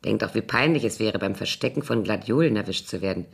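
A woman's voice: extremely low pitch, fast talker, vocal fry, husky, full character voice. An actress playing a sly, mocking older woman in a German radio crime drama, with a sardonic, theatrical drawl.

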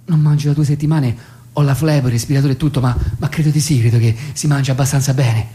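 An actor playing a hesitant, wistful man phoning his lost love, in a meek deadpan for comic radio. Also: mid-range voice, fast, close to an everyday voice. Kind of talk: comic radio monologue phone dialogue.

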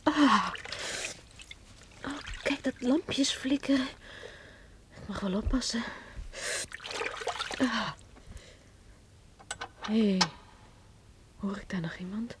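A spirited young village girl in a radio play, high-voiced and given to breathy exclamations. She is secretive and quick to protest, heard on a recording with faint hiss. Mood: secretive, musing. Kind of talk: Dutch radio drama acting, murmured monologue and heated dialogue.

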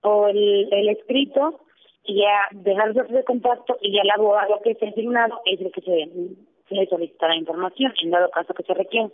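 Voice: mid-range voice, steady tone, a little fast. A clerk at a human rights office answering a phone call, hesitant and full of fillers. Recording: tinny phone line.

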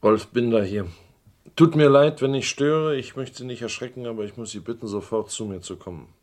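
An actor playing a middle-aged businessman under pressure in a German radio crime drama, measured, persuasive and evasive. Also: mid-range voice, a little mellow, slight background noise, natural voice. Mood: controlled urgency.

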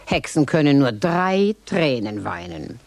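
An actress playing an eccentric, scheming witch who mutters over her potions in a radio play, her voice lurching from low grumbles to shrill highs. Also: sing-song, a little slow, darkish tone, dry recording, full character voice. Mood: gloating. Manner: muttering, swooping wildly in pitch, cackling.

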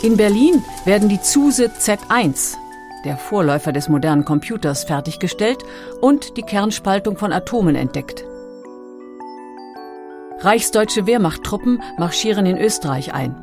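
A woman reading scripted documentary narration in a measured, factual manner. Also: mid-range voice, expressive, music in the background.

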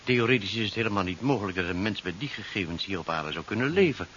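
Formal, courteous radio-play doctor. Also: male voice, fairly low pitch, animated, fast, fairly bright tone. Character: polite, puzzled male hospital doctor. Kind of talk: 1970s Dutch radio drama acting. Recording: muffled, faintly hissy old radio recording.

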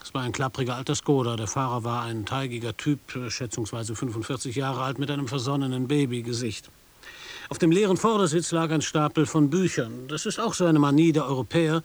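A skeptical, wry American reporter, played by a man in a radio play. His mid-pitched voice questions and narrates with dry doubt. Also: brisk, close to an everyday voice.

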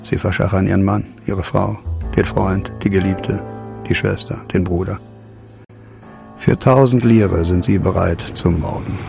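Gravelly, sardonic low-voiced cop's sidekick. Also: male voice, fairly even intonation, measured pace, a little mellow, soft background music, exaggerated voice. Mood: bitter. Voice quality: gravelly, creaky. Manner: mocking, sardonic. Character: sarcastic, menacing cynical male police sidekick. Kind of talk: German radio crime drama acting.

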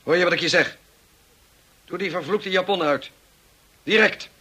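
An actor playing a stern, hot-tempered husband in a radio drama, barking commands in a forceful, rough voice. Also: male voice, high voice, normal speed, exaggerated voice. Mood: furious.

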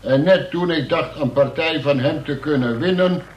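An actor narrating a story in a Dutch radio play. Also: male voice, a little high, moderate pace, muffled recording, lightly acted. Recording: echoey, slightly noisy old radio recording.

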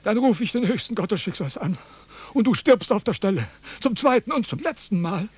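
A pompous old-world philosopher in a radio drama, high in pitch and swinging widely as he declaims. He is quarrelsome and loves to lecture, and the sound is dry and close. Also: sing-song, fairly quick, slightly rough, no room sound, exaggerated voice. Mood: agitated, threatening. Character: pompous, quarrelsome male Chinese philosopher in a threadbare coat. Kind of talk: German radio play acting.

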